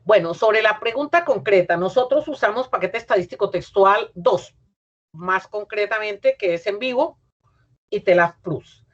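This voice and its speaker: A middle-aged Colombian woman, a university professor, giving an academic lecture over a video call, measured and explanatory, with frequent pauses and self-corrections. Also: medium pitch. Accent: Colombian Spanish. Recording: muffled lo-fi video call audio.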